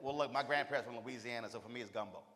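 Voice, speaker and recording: A man's voice: mid-range voice, very fast, bright, forceful tone. A man moderating a panel on stage, talking loosely and teasing the guests with an easy Southern drawl. His voice sits in the middle of the male range and rings out through a big reverberant hall. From Texas.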